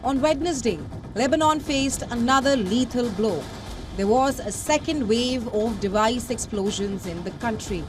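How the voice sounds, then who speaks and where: High-pitched female news narrator over music. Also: expressive, normal speed. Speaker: news reporter. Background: music bed.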